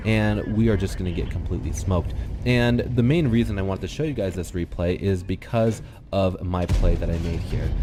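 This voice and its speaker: Deep-voiced, congested man narrating a game replay. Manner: calm, explanatory, thinks aloud with ums. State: congested, slightly nasal. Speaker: gaming channel host. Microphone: desktop USB microphone.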